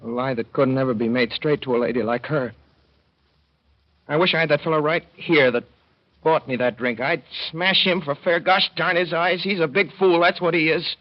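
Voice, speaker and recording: A man's voice: on the high side, moderate pace, lightly acted. An actor playing a slangy, heartbroken young man scolding himself, in a 1930s radio drama. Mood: angry at himself. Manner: slangy, rueful, talking to himself. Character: lovesick working-class young man, self-reproaching. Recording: dull old radio broadcast.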